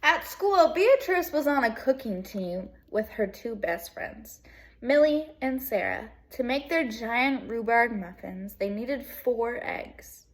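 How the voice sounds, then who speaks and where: A woman teacher reading a children's picture book aloud in an expressive way, with casual asides to young pupils. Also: a little high, slow. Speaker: second grade teacher.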